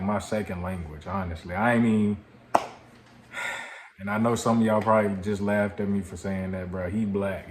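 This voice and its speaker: Low-voiced American man in a roomy space. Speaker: reaction video host. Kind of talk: casual joking reaction commentary. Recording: roomy echo, some background noise.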